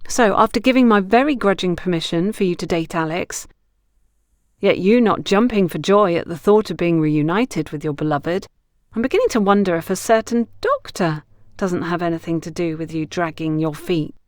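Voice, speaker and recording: A woman's voice: lowish voice, normal speed, darkish tone, no echo, close to an everyday voice. Woman acting frank female confidante, teasing, knowing, instructive, audiobook dialogue, dry sound. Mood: teasing, sincere.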